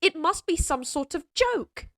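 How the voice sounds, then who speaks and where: A woman's voice: extremely high pitch, very animated, measured pace, a bit bright, no echo, studio quality, pitched above the performer's own voice, heavily acted. A woman voicing a proud, headstrong young lady knight in a fantasy audio roleplay, with spirited, formal speech. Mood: indignant. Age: young adult.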